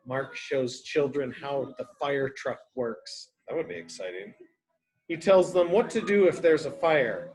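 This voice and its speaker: Man, early education teacher, unhurried pace, read-aloud style, simple repetitive explanations, questions for young listeners. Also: fairly high pitch, rough recording.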